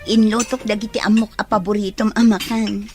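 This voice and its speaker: A woman's voice: medium pitch, level tone, brisk, music in the background, close to an everyday voice. A woman playing a hospitable, easygoing young friend in an Ilocano audio drama. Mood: hospitable, casual.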